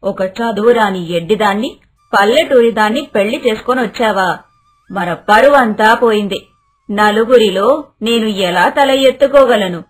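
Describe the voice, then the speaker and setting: Man putting on a husky, high-pitched snobbish mother. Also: a little slow. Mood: scolding, indignant. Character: angry, snobbish mother scolding her grown son. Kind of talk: animated story dialogue. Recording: music under the voice.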